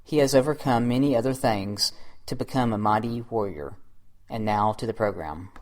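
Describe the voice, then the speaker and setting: A man's voice: fairly low pitch, normal speed. A man reading a scripted introduction as the host of an interview program.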